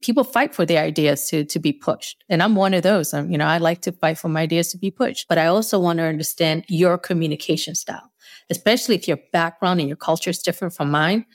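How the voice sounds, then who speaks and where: Low, gravelly woman speaking frankly. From Spanish-speaking household in the US. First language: Spanish. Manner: frank, measured, laughs often. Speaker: guest. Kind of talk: interview conversation.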